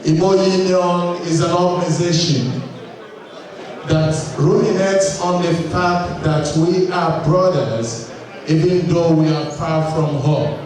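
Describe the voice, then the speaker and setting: A man giving a formal welcome address at a community gala, in slow, measured phrases with long pauses. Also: fairly high pitch, a little mellow. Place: large hall at a community gala. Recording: echoey hall, distant mic, crowd chatter.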